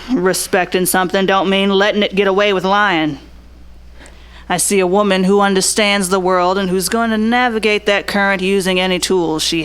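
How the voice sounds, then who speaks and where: A woman's voice: medium pitch, clean tone, close to an everyday voice. Noir audio drama private eye, female performer: clean resonant voice, blunt, observant, slangy, tough. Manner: tough, slangy, plain-spoken. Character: blunt, observant hardboiled 1940s private eye Pal Vargas. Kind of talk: noir radio drama acting with inner monologue.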